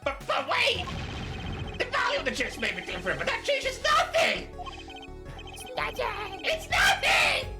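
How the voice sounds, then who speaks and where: A woman playing a vain, excitable courtroom prosecutor in a game fandub, with a melodramatic, indignant delivery. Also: extremely high pitch, unhurried, music in the background, heavily acted. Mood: outraged.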